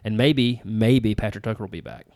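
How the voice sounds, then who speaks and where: A man's voice: lowish voice, normal speed, a little mellow, no echo. A man, co-hosting a podcast in a folksy, rambling, self-deprecating way. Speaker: podcast co-host. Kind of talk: casual chat.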